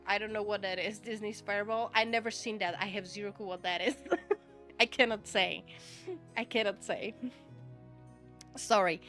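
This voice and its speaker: A woman's voice: mid-range voice, fairly bright tone. Chatty Czech-accented woman streamer. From Czech Republic. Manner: chatty, laughs often, repeats "okay?" to stress points. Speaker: VTuber streamer. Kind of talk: casual livestream chat, answering viewer chat.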